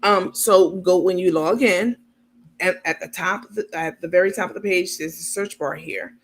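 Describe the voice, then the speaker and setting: High, gravelly-voiced man chatting on a livestream. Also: a bit bright, slight background noise. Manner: chatty, explains step by step while sharing screen; many filler ums, gets irritated at small details. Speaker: channel host. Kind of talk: casual livestream talk to viewers.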